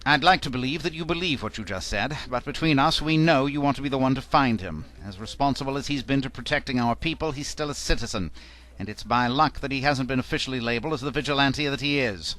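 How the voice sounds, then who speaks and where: A man's voice: medium pitch, fast, fairly bright tone, lightly acted. A man playing a stern, skeptical military commander in an audio drama, speaking with clipped authority.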